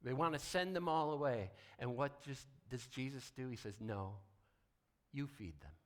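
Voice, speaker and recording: A man preaching a sermon as a pastor, deliberate and emphatic, with dramatic pauses and hushed drops. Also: mid-range voice, lively intonation.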